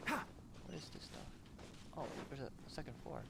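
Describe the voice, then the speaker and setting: A woman's voice: low-pitched, sing-song, a little slow, vocal fry, very noisy. A woman game streamer giving casual, unscripted and exclamatory reactions as she plays.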